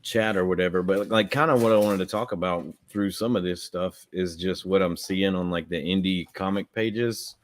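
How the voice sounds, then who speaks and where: A man's voice: deep voice, fairly even intonation, normal speed, few pauses. A man, chatting casually and rambling on a livestream about a comic he co-created. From Southern US. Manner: rambling, heavy use of "like".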